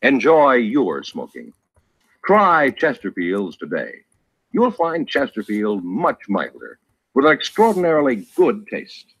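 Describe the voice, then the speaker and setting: A man working as a radio announcer and pitchman, emphatic and deliberate in a 1950s announcing style. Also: huge pitch swings, a bit bright, husky, no echo. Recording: dull old radio recording with music.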